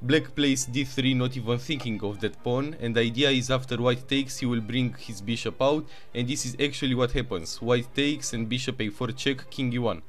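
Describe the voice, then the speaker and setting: A man with a Romanian accent narrating chess games move by move, with excited asides. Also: mid-range voice, moderate pace, hardly any pauses. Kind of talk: chess game commentary.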